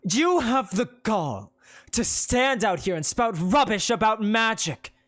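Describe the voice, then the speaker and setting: A narrator voicing a proud young woman mage who speaks in a calm, cold, measured way. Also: mid-range voice, no room sound, clean studio sound, close to an everyday voice. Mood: threatening.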